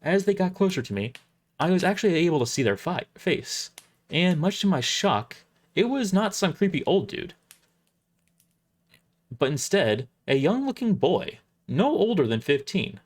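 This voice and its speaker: A man's voice: high voice, big pitch swings, normal speed. A man reading a story aloud on a livestream in scripted narration, with casual asides.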